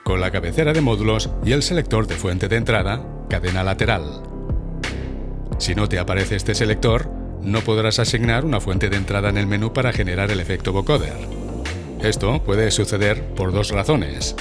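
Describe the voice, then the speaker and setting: A man narrating a scripted software tutorial in a measured, instructional way. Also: lowish voice. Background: music.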